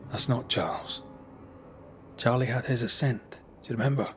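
A man's voice: medium pitch, steady tone, normal speed, fairly bright tone, a little hiss or hum, natural voice. A man playing an earnest, troubled ghost hunter in a dramatic game, speaking plainly and sombrely. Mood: gentle.

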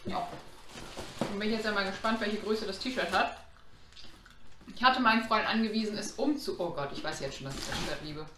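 A woman's voice: medium pitch, brisk, pauses often, a bit bright. A woman in her twenties hosting a casual unboxing to camera, opinionated, blunt and slangy. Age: 20s. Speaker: host of an unboxing channel. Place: small room indoors, on a sofa. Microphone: camera mic a short distance away. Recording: roomy echo, faint background noise.

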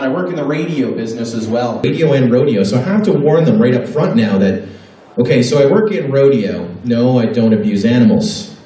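A man's voice: medium pitch, mellow. A middle-aged man works a small club stage with a handheld mic, telling crude jokes in a loose, chatty way. His voice bounces around the room. It is picked up from well back in the club. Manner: loose, conversational delivery with crude punchlines. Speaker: stand-up comedian. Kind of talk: stand-up comedy set. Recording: echoey room recorded from a distance.

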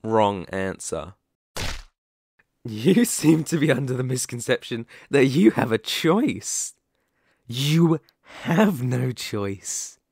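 A man voicing a sadistic, possessive werewolf, wounded and menacing, in a dramatic ASMR roleplay monologue with drawn-out pauses. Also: medium pitch, gravelly, husky, no room sound, studio quality, full character voice. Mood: cold, threatening.

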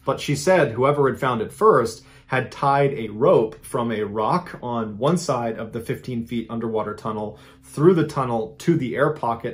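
Host, man, 30s, animated true-story narration to camera. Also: mid-range voice. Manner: animated, conversational retelling with dramatic emphasis. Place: indoor studio with a green-screen backdrop.

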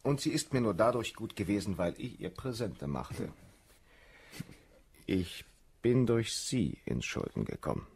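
A man's voice: on the low side, expressive, a little slow, vocal fry, long pauses, darkish tone, breathy edge, heavily acted. An actor playing a callous, cynical nobleman officer in a German radio drama, drawling and sneering in self-justification. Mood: scornful, smug.

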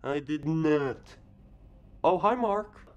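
A young man doing rapid character impressions in a theatrical manner. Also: on the high side, animated, muffled recording. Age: young adult. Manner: theatrical, shifting between character voices. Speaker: amateur voice impressionist. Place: small indoor room.

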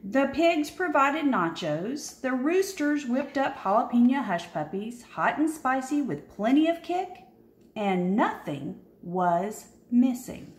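A woman reads a children's picture book aloud in a fairly high voice that swings up and down with plenty of animation. She takes her time, leaning on key words with dramatic pauses. The room adds a noticeable echo. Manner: expressive, dramatic pauses and emphasis. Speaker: storybook reader.

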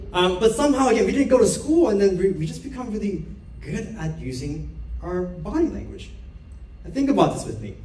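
A young man from Korea speaks to a theater crowd in a playful, chatty way, often throwing questions at them. His voice is fairly high and jumps around a lot. The sound is roomy and picked up from some distance, with a faint hum of room noise behind it. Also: darkish tone. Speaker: speaker giving a stage talk. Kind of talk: lively stage talk to an audience. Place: dark theater stage with an audience. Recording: roomy stage sound heard from far off.

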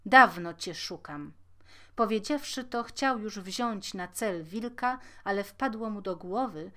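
A woman's voice: medium pitch, unhurried, fairly bright tone. A woman reading a scripted fairy tale aloud as a storyteller.

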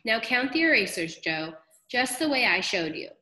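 A woman voicing a patient but firm female schoolteacher in a storybook, speaking in an instructive, deliberate way. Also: on the high side, big pitch swings, sharp tone. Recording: echoey room.

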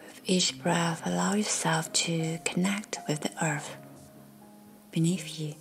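Low, very slow, breathy female meditation guide. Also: steady tone. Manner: gentle, measured, with long pauses between short phrases. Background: faint background music.

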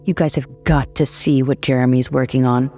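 A woman's voice: rumbling low, steady tone, normal speed, warm tone, faint music behind, voice pushed down. A woman voicing an enthusiastic, condescending male college teacher in an audio story, with emphatic delivery. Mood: gushing. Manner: gushing, emphatic.

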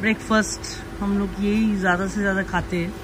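A woman's voice: medium pitch, level tone, a little fast. A woman vlogging while shopping, chatting casually and describing each item she picks up, filmed on a handheld phone in a big warehouse store. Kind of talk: casual shop-with-me vlog narration. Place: large indoor warehouse store. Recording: echoey warehouse with loud store noise.

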